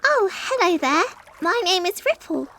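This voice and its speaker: A man voicing a high, sweet little unicorn filly. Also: big pitch swings, a little slow, full character voice. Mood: cheerful, curious. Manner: bright, innocent, childlike chatter. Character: chirpy, eager young unicorn filly, sweet little girl. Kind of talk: dramatic reading of a cartoon fan story.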